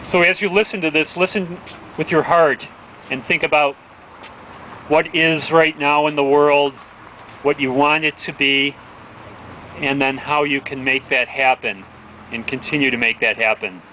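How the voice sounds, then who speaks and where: A man with a bright, forceful voice hosts an outdoor gathering in a town plaza. He speaks informally and earnestly, urging people on. Faint street and traffic noise runs behind him. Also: a little high, steady tone, a little slow, long pauses, poor audio. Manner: earnest, exhortatory. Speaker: event host introducing readers. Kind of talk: informal public address at an outdoor rally.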